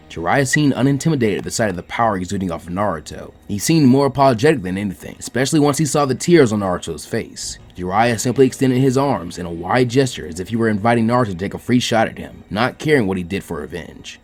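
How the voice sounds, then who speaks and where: A man's voice: on the low side, lively intonation, fast, hardly any pauses. A man narrating fan fiction as a scripted story reading.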